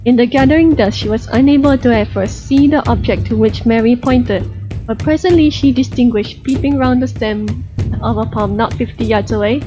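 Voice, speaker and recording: Woman narrator, storybook read-aloud, fairly high voice, slightly dull recording, clear music bed. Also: normal speed. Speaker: audiobook narrator. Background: background music.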